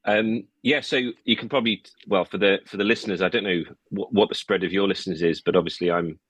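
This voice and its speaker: Deep-voiced English man, rambling chat. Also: fast, a bit bright, husky, no room sound. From England. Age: early 40s. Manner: rambling, full of "you know" and restarts. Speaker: guest musician. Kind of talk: casual interview chat.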